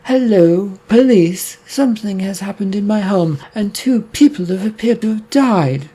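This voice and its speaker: A man's voice: sits high, lively intonation, unhurried, warm tone, husky, pitched above the performer's own voice, full character voice. A man voicing a flustered woman reporting a death on the phone, stammering and shaky. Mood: panicked.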